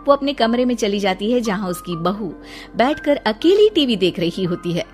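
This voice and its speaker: A woman reads scripted story narration. Her voice sits at an average pitch, and music plays underneath her as she links the scenes. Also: big pitch swings, moderate pace.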